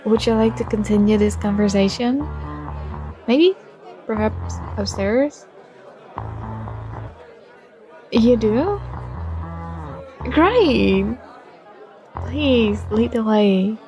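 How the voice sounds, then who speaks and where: A woman playing a friendly, shy young student with a secret crush in an ASMR roleplay, speaking gently and conversationally. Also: a little high, expressive, soft background music, some chatter behind. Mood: warm, tentative. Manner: gentle, unhurried, with small giggles.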